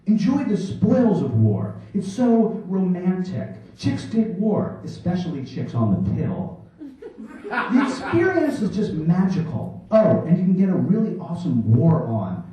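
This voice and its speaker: A man performs poetry on stage in a declamatory, mock-serious way, his fairly high voice swinging widely in pitch. The sound is distant and very echoey, like a theater captured from the seats. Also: huge pitch swings, measured pace, mellow. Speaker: poet reading on stage. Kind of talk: theatrical live poetry reading. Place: small theater in New York, before a laughing audience. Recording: echoey hall, distant dull microphone.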